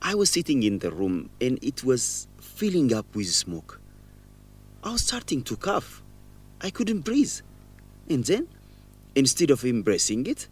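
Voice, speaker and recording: An actor playing a guilt-ridden young man in an educational radio drama, speaking earnestly and haltingly with emotion. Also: medium pitch. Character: guilt-ridden young man, former orphan, confessing a shameful secret.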